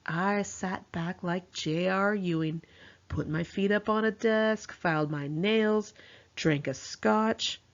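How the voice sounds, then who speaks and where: A woman's voice: mid-range voice, slow pace, dull audio. An American woman reads her own memoir aloud in a conversational, slangy, informal way, acting out the dialogue as she goes. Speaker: author reading own memoir. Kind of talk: informal first-person memoir narration.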